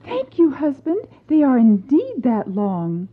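A woman playing a gentle, anxious empress in a dramatised folktale, speaking formally and plaintively. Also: high-pitched, huge pitch swings, slow pace, husky, full character voice. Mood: sweet, modest. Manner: plaintive, formal storybook speech. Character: anxious, dainty young empress, gentle and ashamed. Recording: thin, phone-like lo-fi sound.

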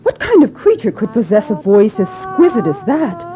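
A woman's voice: mid-range voice, wildly swooping pitch, normal speed, dark tone. A woman voicing an earnest, romantic young prince who speaks in a courtly storybook manner, in a slightly muffled recording.

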